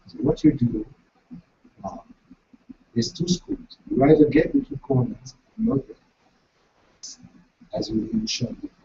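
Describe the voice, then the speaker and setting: A man with a big, gravelly voice leads a practice session and walks his listeners through each step of a ritual. His mellow tone rises and falls a lot as he speaks. The recording sounds dull and lo-fi. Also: on the high side, unhurried, long pauses. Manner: lively, switching between French and English, laughing as he explains. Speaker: meditation teacher.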